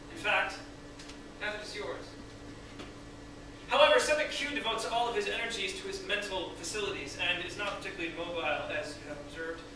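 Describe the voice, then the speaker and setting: Man acting a high-voiced smug scientist, echoey room. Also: close to an everyday voice. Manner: formal, lecturing, condescending. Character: smug Russian male scientist, proud, coolly clinical. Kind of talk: staged script read-through. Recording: echoey room, distant mic, noisy.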